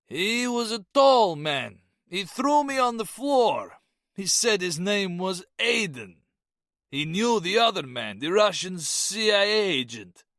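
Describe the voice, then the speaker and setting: A man's voice: sits high, big pitch swings, slow, a bit bright, dry recording, clean studio sound, pitched above the performer's own voice, full character voice. A man voicing a drunk, drugged, confused middle-aged man in an audiobook, bewildered and trembling. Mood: rambling. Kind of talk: audiobook character voice.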